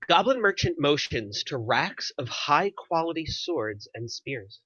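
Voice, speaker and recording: A man's voice: on the high side, very animated, measured pace, pushed and bright, breathy edge, no echo. A man reading stage directions as scripted narration at a table read, on a muffled microphone.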